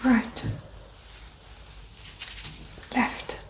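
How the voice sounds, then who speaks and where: ASMR patient, female. Soft clipped replies, very unhurried, lilting pitch; a bit off-mic. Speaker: patient in an ASMR medical exam roleplay. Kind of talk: soft one-word ASMR answers. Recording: roomy, distant mic, faint noise.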